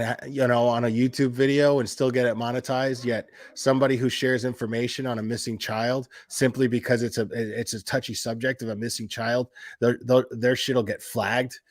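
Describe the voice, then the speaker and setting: Man, medium pitch, sarcastic, emphatic, repeats himself, casual reaction commentary, very little room sound. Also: no echo, studio quality. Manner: sarcastic, mocking, emphatic repetition; profane. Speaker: host of a commentary stream.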